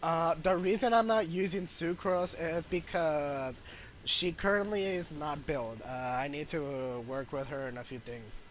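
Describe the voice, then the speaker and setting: A man's voice: fairly high pitch, unhurried, a little hiss or hum. A man giving casual gameplay commentary as a game streamer.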